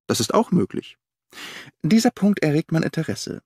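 A man voicing a sharp, analytical detective who reasons briskly and incisively in a dry recording. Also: on the high side, very animated, husky, dry recording, pitched above the performer's own voice, full character voice. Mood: intrigued.

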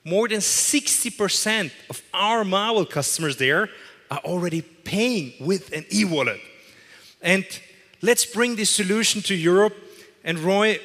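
Bright-voiced man giving a stage keynote. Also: high-pitched. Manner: emphatic, repeats phrases for effect. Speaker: keynote presenter. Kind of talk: corporate keynote speech. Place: large event hall with audience. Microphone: headset mic. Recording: long hall reverb.

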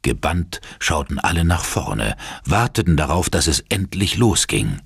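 A man narrating a horror audio drama in a measured, suspenseful, theatrical manner. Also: deep voice, big pitch swings, creaky, breathy edge, dry recording, clean studio sound, exaggerated voice. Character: male narrator of a horror radio play, ominous and suspenseful.

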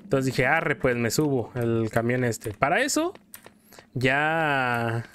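A man's voice: medium pitch, very animated, slow, fairly bright tone, slight background noise. A man with a Mexican accent telling a story in casual, colloquial style while commenting as a gameplay host. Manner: colloquial, acts out quotes in passing.

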